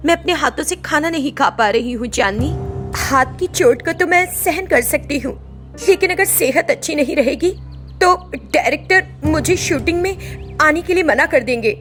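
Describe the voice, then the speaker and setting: A woman's voice: sits high, animated, normal speed, a bit bright, soft background music, voice pushed up. A woman voicing a haughty, aloof film actress and mistress of the house, curt and imperious in a story. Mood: distressed.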